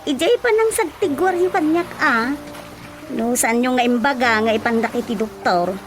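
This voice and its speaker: A woman's voice: high voice, expressive, moderate pace, over music. An actress playing a plain-spoken, complaining village woman in a radio comedy drama, with an Ilocano Filipino accent. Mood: chatty, complaining.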